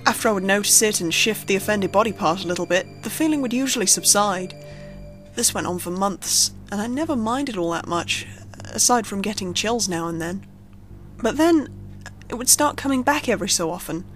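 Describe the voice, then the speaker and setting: A woman reading a scripted first-person horror story in a measured, suspenseful storytelling manner. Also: mid-range voice, animated, fairly bright tone. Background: background music under the narration.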